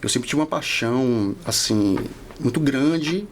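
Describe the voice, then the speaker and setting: Male podcast guest, 40s, Northeastern Brazilian accent, creaky medium-pitched voice, reflective delivery, faint background noise. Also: lively intonation, unhurried. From Salvador, Bahia, Brazil. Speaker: podcast guest, law professor. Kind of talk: casual interview chat.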